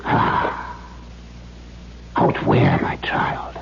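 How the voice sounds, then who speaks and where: An actor playing a cruel, domineering middle-aged father in a 1970s radio drama, mock-gentle and formal as he menaces his daughter. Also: very slow. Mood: mock-gentle, probing. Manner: mock-gentle, formal phrasing, erupting into demands. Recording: dull, noisy 1970s radio recording.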